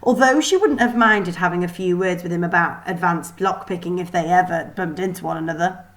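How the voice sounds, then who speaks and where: A woman's voice: fairly low pitch, brisk. Woman reading a children's story aloud. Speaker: storybook reader. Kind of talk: expressive scripted narration of a children's novel.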